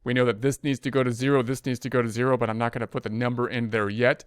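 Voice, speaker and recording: A man's voice: medium pitch, flat delivery, fast talker, smooth, no echo, clean studio sound. A man teaching an accounting lesson over a spreadsheet screen recording, thinking aloud with frequent restarts and fillers. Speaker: accounting instructor.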